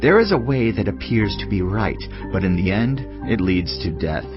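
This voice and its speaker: A man giving a dramatised reading of scripture in a solemn, measured delivery. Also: lowish voice, animated, lo-fi sound. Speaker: audio Bible reader. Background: clear background music.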